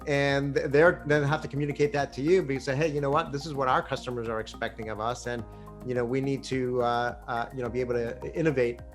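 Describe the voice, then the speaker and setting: A man moderating a business discussion in a conversational way, with halting phrasing and frequently repeated words. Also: mid-range voice, steady tone, faint music behind. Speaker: webinar moderator.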